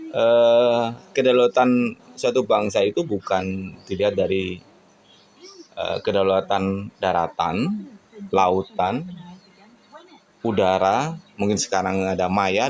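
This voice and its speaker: A man from Indonesia giving a casual, reflective monologue to viewers in an earnest, halting way, often tossing in rhetorical questions. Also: lowish voice, vocal fry, darkish tone. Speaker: radio hobbyist vlogger.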